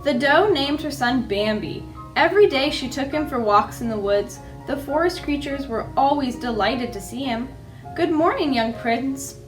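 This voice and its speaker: A woman reading children's storybooks aloud as a library storyteller, with an expressive delivery. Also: sits high, low-quality recording.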